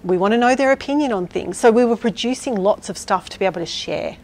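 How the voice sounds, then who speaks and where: A woman giving a conference talk and answering questions, speaking loudly and energetically in a chatty way. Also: medium pitch, animated, fast talker. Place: event hall with audience.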